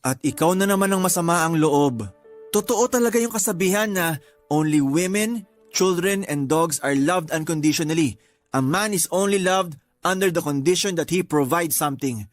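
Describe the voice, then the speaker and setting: A man's voice: on the high side, moderate pace, soft background music, natural voice. A man playing a sulky, self-pitying young boyfriend who grumbles through a Tagalog radio drama. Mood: resentful, self-pitying. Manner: whiny, grumbling.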